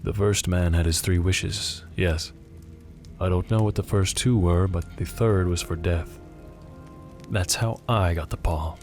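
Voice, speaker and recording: A weary middle-aged sergeant major acted out by a man in an audio drama. He speaks low and solemn, in terse, offhand phrases, with faint music underneath. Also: fairly even intonation, measured pace, darkish tone. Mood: grave, solemn.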